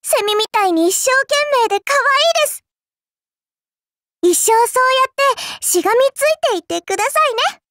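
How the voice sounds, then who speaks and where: Very high-pitched, sweetly condescending little girl. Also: animated, moderate pace, fairly bright tone, dry recording, clean studio sound, exaggerated voice. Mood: sweetly mocking. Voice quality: childlike, cutesy. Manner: polite, prim speech with teasing condescension. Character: dainty little girl, politely sweet with condescending, cruel streak. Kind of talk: Japanese mobile game character voice lines.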